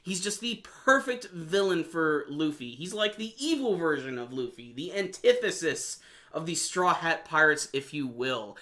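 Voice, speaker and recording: A man's voice: on the high side, sing-song, measured pace, bright, forceful tone. A man giving an enthusiastic video review of an anime episode in casual, slangy fan talk.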